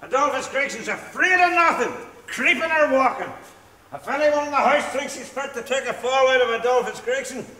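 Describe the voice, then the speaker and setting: An actor playing a drunken, boastful, preachy middle-aged husband on stage. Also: male voice, very high voice, expressive, normal speed, pushed and bright, heavily acted. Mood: blustering. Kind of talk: stage play acting. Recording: echoey hall, far from mic.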